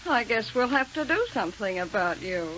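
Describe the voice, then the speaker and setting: A cool, taunting female space villain with a high, bright voice. She is arch and mocking in old radio-serial style. The recording is dull and hissy. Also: lively intonation, normal speed. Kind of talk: 1930s radio serial acting.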